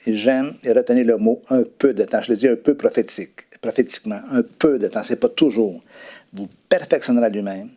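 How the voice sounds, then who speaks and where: A French-speaking man with a voice of ordinary pitch talks about his faith as a guest pastor. He speaks haltingly, with many fillers and repeated words, and moves into a steady prayer. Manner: hesitant, many fillers and restarts. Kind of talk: testimony and prayer.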